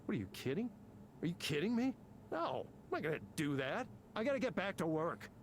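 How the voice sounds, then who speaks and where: A man's voice: high-pitched, very animated, a little fast, slight background noise. An actor playing an angry, self-important tech programmer in his fifties for a video game, ranting with sarcasm and swearing. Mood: bitter, exasperated. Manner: combative, sarcastic, profane ranting. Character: angry, embittered, self-important fifty-something male tech company programmer. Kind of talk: naturalistic video game voice acting.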